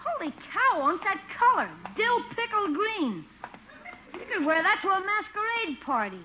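Cheeky high-voiced little boy. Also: big pitch swings, normal speed. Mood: teasing. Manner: brash, teasing, slangy. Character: cheeky wisecracking young nephew, a little boy. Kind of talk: 1950s radio comedy acting. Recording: dull, noisy old radio recording.

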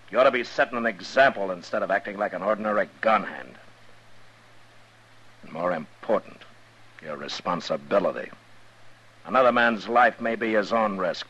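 Deep, husky, stern western marshal. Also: male voice, moderate pace, a bit bright. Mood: stern, angry. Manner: firm, authoritative, plain-spoken. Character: stern, commanding male frontier town marshal. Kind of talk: 1950s radio western acting. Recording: muffled vintage radio drama recording.

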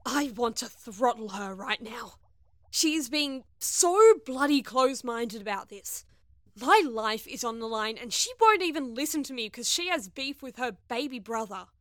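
A woman playing a frustrated, sarcastic young spacefaring heroine in an audio drama, expressive as she acts and narrates her own adventure. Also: a little high, measured pace, dry recording, studio quality. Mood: angry. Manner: sardonic, expressive. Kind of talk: audio drama acting with first-person narration.

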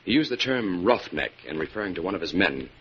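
An actor playing a confident, shrewd crime-fighting investigator in an old-time radio drama, explaining things in a crisp, declarative way. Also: male voice, deep voice, big pitch swings, fast. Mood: assured explaining. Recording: dull, noisy old radio recording.

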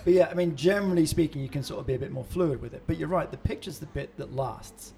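A man's voice: a little high, fast, creaky, mellow, faint music behind. A man co-hosting a casual podcast, chatty and encouraging, who keeps adding "to be fair" asides. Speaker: podcast co-host and wedding celebrant.

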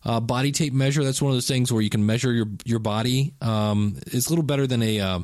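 A man's voice: medium pitch, quick pace, a little mellow, no room sound, studio quality. A man in his fifties hosting a casual solo podcast, talking in a rambling, chatty way and correcting himself as he goes. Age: 50s. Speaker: podcast host.

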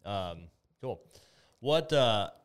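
A man interviewing a gym member in a relaxed, friendly way, explaining things with frequent restarts. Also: on the low side, very slow, clear, resonant tone. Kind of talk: casual interview.

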